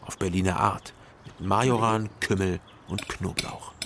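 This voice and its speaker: Deep-voiced, laconic detective narrator. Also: male voice, fairly even intonation, measured pace, a bit bright, slight background noise. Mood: dry, reflective. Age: 30s. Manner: dry, reflective, laconic. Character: thirty-year-old sober, lonely private detective narrating. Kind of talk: scripted first-person radio drama narration. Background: music.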